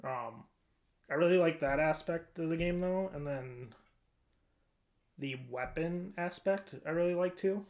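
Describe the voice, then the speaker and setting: A man's voice: a little high, measured pace, long pauses, darkish tone. A man co-hosting a podcast, chatting casually about video games in an informal, rambling way as he thinks aloud. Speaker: podcast co-host.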